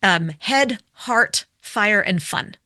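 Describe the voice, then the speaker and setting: Bright, crisp woman, a speaking coach, lively and demonstrative, close dry studio mic. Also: medium pitch, slow pace, dry recording. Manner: animated, demonstrates with her voice, asks questions. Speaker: public speaking expert, guest. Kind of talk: explaining and coaching in conversation.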